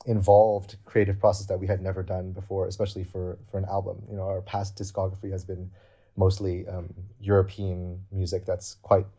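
A deep-voiced young man talks through his project as an interview guest. His delivery is earnest and explanatory, worked out aloud. The tone stays mellow and dark, with little rise and fall. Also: monotone, fairly quick. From Canada. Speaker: guest cellist. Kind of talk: interview answers.

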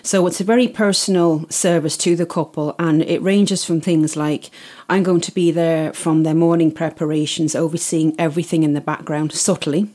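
A middle-aged woman with an Irish accent, a guest explaining her work in a casual podcast interview, steady and detailed. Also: deep voice, moderate pace, hardly any pauses. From Isle of Man. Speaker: guest, wedding PA.

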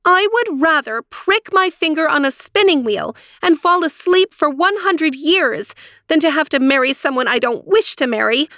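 Woman voicing a high-pitched, headstrong teenage storybook princess. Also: animated, moderate pace, a bit bright, no room sound, clean studio sound. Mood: firm, determined. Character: sixteen-year-old princess, earnest, headstrong girl. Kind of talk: storybook character voice.

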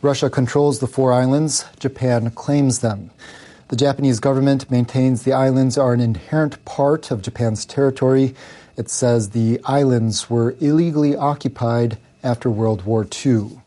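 A man's voice: mid-range voice, fairly even intonation, moderate pace, warm tone. A man reading scripted international news for radio as a newsreader. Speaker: news reader.

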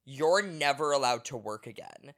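A man's voice: mid-range voice, expressive, normal speed, a bit bright. Rambling man co-hosting a podcast. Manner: rambling, explains at length. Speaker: podcast co-host. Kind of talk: casual podcast chat.

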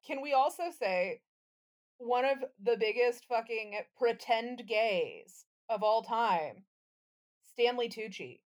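Female podcast co-host, slightly high voice, dry sardonic bite, blunt casual banter with swearing. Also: animated, slow pace. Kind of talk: casual comedic chat.

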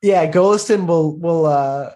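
A man plays a tabletop roleplaying game and describes the action as he goes. His delivery is casual and halting, in a slightly high male voice. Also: dark tone. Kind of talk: casual improvised roleplay narration.

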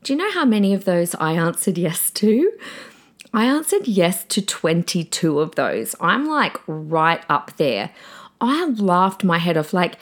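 A middle-aged woman hosting a podcast in a chatty, excitable way, often calling her listeners guys, her voice slightly croaky. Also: on the low side, unhurried. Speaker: podcast host; preacher. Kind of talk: casual podcast monologue.

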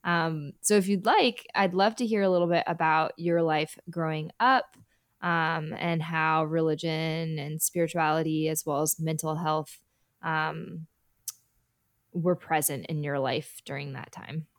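A woman's voice: low voice, steady tone, unhurried, no echo, studio quality. A young adult woman hosting a podcast, talking in a chatty way with fillers and laughter. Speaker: podcast host. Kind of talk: conversational podcast interview.

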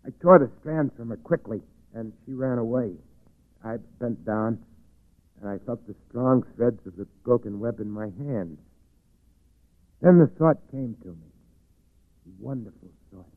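A man's voice: mid-range voice, lively intonation, unhurried, long pauses, lightly acted. An actor playing a lonely, eerie reclusive weaver who confides his brooding story in a 1940s radio drama. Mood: eerie wonder. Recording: muffled, tinny 1940s radio recording.

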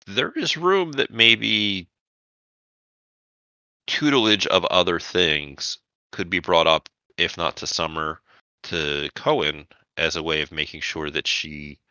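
A man with an extremely low voice and a hard, very bright edge runs a tabletop roleplaying game. He phrases his narration deliberately, pausing between thoughts, and the sound is close and dry. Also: no room sound, lo-fi sound. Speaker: game master.

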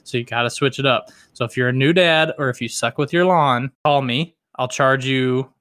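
Bright-voiced chatty man. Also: mid-range voice, normal speed, clean tone, no echo. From American South. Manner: joking, chatty, explains at length. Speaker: podcast co-host. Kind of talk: casual podcast chat.

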